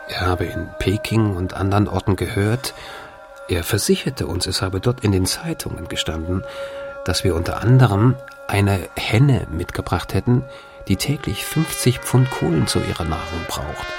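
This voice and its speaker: Bemused old-time European traveller, low-voiced. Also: male voice, expressive, moderate pace, gravelly, over music. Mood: measured, bemused. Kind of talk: literary reading of an old travel account.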